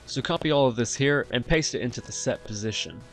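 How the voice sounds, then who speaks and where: A man hosting a software tutorial, explaining each step in an instructional way. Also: medium pitch, a little fast, soft background music. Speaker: tutorial host. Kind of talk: software tutorial narration over screen recording.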